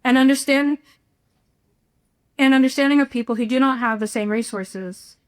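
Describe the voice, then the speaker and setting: Higher-voiced young American woman giving a speech. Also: normal speed. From Houston, Texas, United States. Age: young adult. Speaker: student speaker. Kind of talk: prepared speech.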